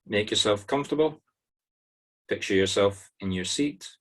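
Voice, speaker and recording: A Scottish man leading a guided meditation on a video call, soothing, with pauses between short, simple instructions. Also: on the low side, animated. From Scotland. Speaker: spiritual medium leading a meditation group. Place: online video-call circle.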